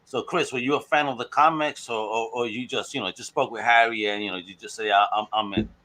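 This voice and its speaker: Man, podcast host, bright tense voice, jokey, teasing, quick to laugh. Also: mid-range voice, steady tone, poor audio. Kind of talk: casual podcast interview.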